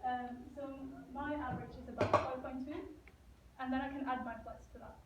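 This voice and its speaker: A woman's voice: high voice, fairly even intonation, normal speed, fairly bright tone. A young woman from Norway giving a student competition presentation to a live audience, earnest and stopping now and then to ask the audience questions. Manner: earnest, asks the audience questions, some restarts. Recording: echoey room, voice from a distance, dull lo-fi sound, faint hiss.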